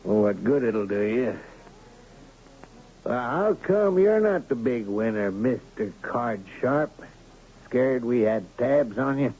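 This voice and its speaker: An actor playing a ruthless, bullying gambler who runs a Western town, sneering and menacing, in radio drama style. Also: male voice, mid-range voice, lively intonation, slow pace, a little mellow, breathy edge. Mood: smug, sneering. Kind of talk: 1970s radio drama acting. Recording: muffled, noisy 1970s radio drama recording.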